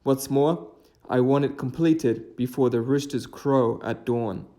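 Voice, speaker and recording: Guy doing a folktale mountain god, formal n deliberate, bossy, crafty, mellow tone. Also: medium pitch, lightly acted. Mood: commanding, stern.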